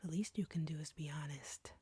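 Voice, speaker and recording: Female vampire captor, acted by a woman, audio roleplay: low, close and dry, whispery, slow, smug and flirtatious. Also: mellow, no room sound. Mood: playful menace. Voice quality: breathy, whispery. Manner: slow, taunting, condescending.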